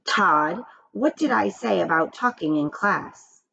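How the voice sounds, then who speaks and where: A stern storybook schoolteacher, put on by a woman reader, firm and admonishing. Her intonation is lively with a creaky edge, on a dull recording. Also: medium pitch, slow pace, full character voice. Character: stern female schoolteacher scolding a pupil.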